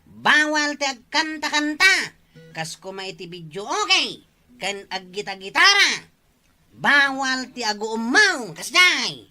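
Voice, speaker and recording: A woman's voice: high voice, big pitch swings, slow pace, pushed and bright, faint music behind, full character voice. A woman playing a nagging village official who enforces rules, in an Ilocano comedy skit. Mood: scolding.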